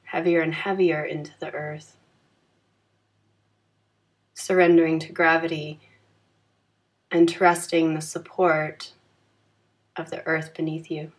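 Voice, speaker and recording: A woman leading a guided yoga nidra meditation in a calm, gentle, soothing manner with unhurried phrasing. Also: deep voice.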